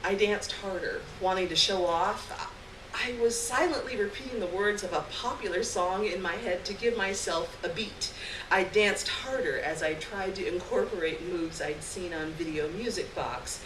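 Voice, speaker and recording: A woman giving a measured, expressive reading of a literary short story at a live event. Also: fairly low pitch. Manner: measured, acts out dialogue. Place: indoor live stage reading. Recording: roomy, noisy live recording from a distance.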